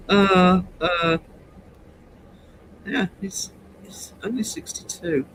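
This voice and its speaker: A man in his sixties with a fairly high voice, hosting a livestream in a loose, chatty way. He reads out viewer comments, teases people and laughs often. A faint hiss sits under his voice. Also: a bit bright, low-quality recording. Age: 60s. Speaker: livestream host. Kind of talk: casual chat reading viewer comments.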